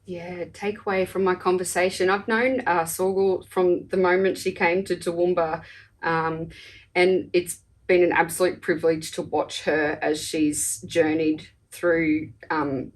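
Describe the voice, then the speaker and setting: Bit distant mic, aussie woman chatting on a podcast, lowish voice. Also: level tone, unhurried. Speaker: podcast interviewer. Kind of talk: casual conversational interview.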